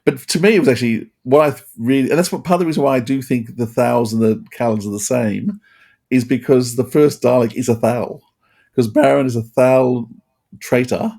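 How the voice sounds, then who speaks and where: A man hosting a casual review chat, rambling and halting, often repeating his phrases. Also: mid-range voice, vocal fry, warm tone. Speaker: podcast host.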